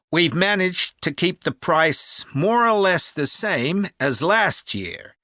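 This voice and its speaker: An actor playing a helpful, organised man on a children's camp staff, explaining clearly and deliberately in a listening-test dialogue. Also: fairly high pitch, bright, forceful tone, no room sound, clean studio sound, exaggerated voice. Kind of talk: acted informational phone-enquiry dialogue for a listening test.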